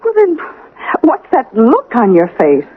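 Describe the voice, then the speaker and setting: An actress playing an anxious, proper small-town wife, fretful and questioning, in a radio drama. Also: female voice, fairly high pitch, huge pitch swings, measured pace, slightly rough, exaggerated voice. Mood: anxious, puzzled. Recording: narrow, lo-fi old radio broadcast.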